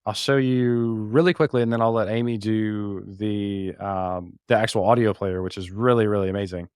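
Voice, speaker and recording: A man's voice: fairly low pitch, steady tone, moderate pace, darkish tone, clean tone, no room sound, studio quality. A man giving a casual, informal live walkthrough of a technical demo, with some self-deprecation. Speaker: podcast host and developer giving a demo as guest.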